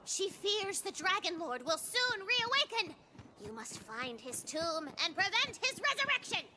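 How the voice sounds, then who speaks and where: Here is an excitable little girl running a fantasy game. Her voice is extremely high and swings up and down dramatically. She is playful, bossy and theatrical, with noise clearly behind her. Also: a little slow, full character voice. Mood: dramatic. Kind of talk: theatrical tabletop game narration in a video game.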